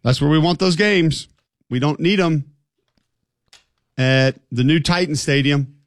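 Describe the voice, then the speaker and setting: A man's voice: on the high side, a little slow, dry recording, clean studio sound. A man hosting a sports talk show, speaking conversationally in an opinionated, emphatic way and throwing out rhetorical questions.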